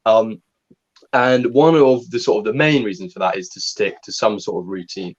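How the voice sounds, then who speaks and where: Young man, low voice, dull lo-fi sound. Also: fairly even intonation, moderate pace. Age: young adult. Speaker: co-host. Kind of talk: casual livestream chat.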